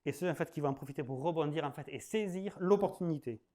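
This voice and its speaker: A man's voice: fairly high pitch, fast, a little mellow. A man in his late thirties with a southwestern French accent, teaching sales in a loose, conversational style. He leans on fillers, restarts his sentences and laughs at his own jokes. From southwest France. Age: 30s. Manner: chatty, loose, full of fillers, laughs at himself. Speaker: sales trainer. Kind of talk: casual spoken masterclass.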